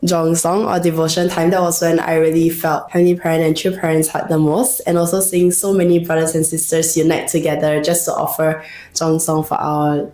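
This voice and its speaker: A young woman from Singapore speaks earnestly and reflectively about her devotions. Her voice sits a little low for a woman. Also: flat delivery, a little fast, dark tone. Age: 20s. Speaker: youth missionary. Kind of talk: sharing testimony.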